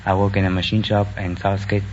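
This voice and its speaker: Young male contestant, German accent, quite low voice, earnest and halting; muffled vintage broadcast. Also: little pitch movement. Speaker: quiz show contestant. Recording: dull, noisy vintage radio recording.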